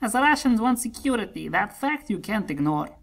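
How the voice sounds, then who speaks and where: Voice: mid-range voice, big pitch swings, moderate pace, gravelly, fairly bright tone, heavily acted. A performer plays a cynical autocrat holding forth in satirical verse. The voice is commanding and sardonic, declaiming each rhymed line with shrewd scorn. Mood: sardonic, argumentative.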